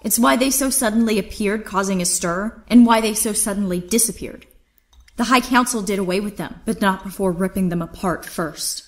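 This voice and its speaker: An actress playing a defiant, idealistic young niece in an audio drama, earnest and indignant. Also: female voice, mid-range voice, moderate pace, lightly acted. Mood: accusing.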